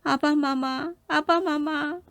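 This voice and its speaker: A woman voicing a gentle, earnest fifteen-year-old storybook princess with a polite, plaintive manner. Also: sits high, steady tone, slow, dry recording, faint music behind, voice pushed up, exaggerated voice. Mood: pleading. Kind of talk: storybook character voice.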